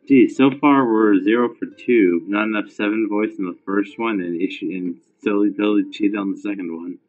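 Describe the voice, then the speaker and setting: A man's voice: fairly low pitch, fairly even intonation, normal speed. Man reading casually on phone-like audio. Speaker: fan fiction reader and commentator. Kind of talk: casual narration with joking asides.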